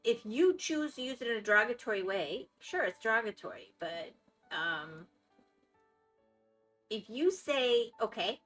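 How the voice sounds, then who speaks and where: A woman's voice: fairly high pitch, animated, a little slow, a bit bright, soft background music, rough recording. A woman with a Florida accent, chatting casually with viewers as a live streamer and reacting to their comments. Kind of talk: casual chat while gaming.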